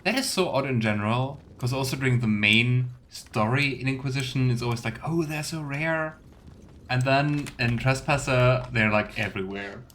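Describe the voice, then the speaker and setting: A man's voice: medium pitch, normal speed, fairly bright tone, slight background noise. A man streaming a video game, giving casual commentary and thinking aloud. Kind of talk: casual let's play commentary.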